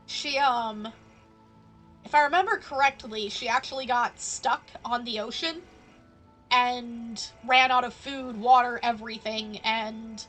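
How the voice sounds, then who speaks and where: A woman live-streaming a game with a fairly high voice. She comments casually and conversationally, thinking aloud as she goes, with some music playing behind her. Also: measured pace, bright, forceful tone, low-quality recording.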